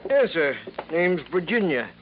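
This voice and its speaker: An actor playing a talkative, homespun apartment house manager. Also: male voice, high-pitched, very animated, measured pace. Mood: gossipy. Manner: talkative, homespun, says ain't. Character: chatty, folksy male apartment house manager. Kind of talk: 1950s radio drama acting. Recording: muffled old radio broadcast with hiss.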